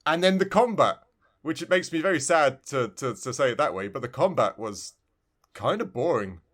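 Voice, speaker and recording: A man's voice: mid-range voice, lively intonation, normal speed, a bit bright. A man co-hosting a casual video game review podcast, talking in a rambling way and often restarting his phrases. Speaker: podcast co-host.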